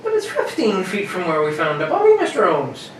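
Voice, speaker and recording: A plain-spoken police sergeant, acted by a woman, folksy and deferential with a suspicious streak. The voice sits at a middling pitch but moves around a lot. It is radio-mystery acting in an echoey room. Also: wildly swooping pitch, fairly quick. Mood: matter-of-fact, deferential. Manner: colloquial, matter-of-fact, folksy. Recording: roomy, distant, faintly noisy recording.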